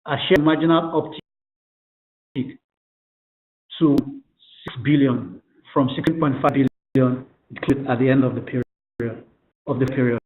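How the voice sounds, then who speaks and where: A man formally presenting financial results on a conference call, reading figures aloud in a halting way. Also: medium pitch, steady tone. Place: conference call phone line. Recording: tinny, echoey telephone conference line.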